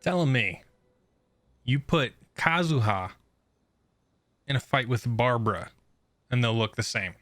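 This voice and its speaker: A man's voice: medium pitch, lively intonation, unhurried, long pauses, a bit bright, no room sound. A young man giving casual, slangy and playful gameplay commentary as a streamer. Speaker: gaming streamer.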